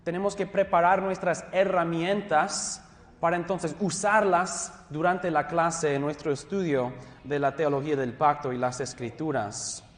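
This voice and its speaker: A man with an American English accent teaches a theology class, his voice sitting a little high. He is friendly and self-deprecating, often stopping to restart a word. The sound rings with the long reverb of a large hall. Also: steady tone, a little slow. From Southern California, USA. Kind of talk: classroom lecture.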